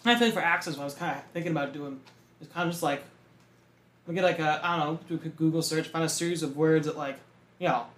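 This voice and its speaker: A man's voice: a little high, a bit bright. A young man co-hosting a casual podcast chat, full of fillers like "like" and "you know". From Massachusetts. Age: young adult. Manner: fast, filler-heavy, full of likes and you knows. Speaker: podcast co-host. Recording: roomy echo.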